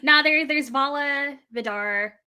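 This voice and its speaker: A woman's voice: high-pitched, slow, sharp tone. A woman chatting casually as a panelist on a group podcast.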